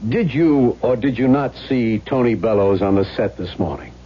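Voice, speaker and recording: An actor playing a blunt, impatient police lieutenant questioning witnesses in a 1970s radio drama. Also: male voice, mid-range voice, expressive, normal speed, husky. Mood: brisk, insistent. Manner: blunt, probing. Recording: dull old radio recording.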